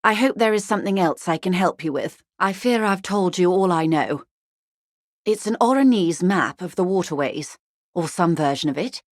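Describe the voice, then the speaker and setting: A woman's voice: lowish voice, expressive, moderate pace, dry recording, studio quality, close to an everyday voice. A woman playing a poised, wealthy, shrewd corporate negotiator in a video game, speaking formally and with polite, guarded care. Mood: polite, composed. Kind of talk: video game character dialogue.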